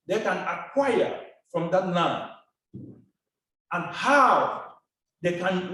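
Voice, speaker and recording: Slow-spoken man lecturing in an echoey hall. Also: sits high, fairly bright tone, far from the mic. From Cameroon. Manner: slow, deliberate, formal phrasing. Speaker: seminar speaker. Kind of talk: lecture presentation. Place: seminar hall.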